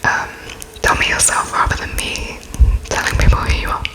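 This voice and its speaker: A person speaking in a breathy, unvoiced whisper, hesitant and gently polite, playing an interviewer in an ASMR roleplay. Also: normal speed.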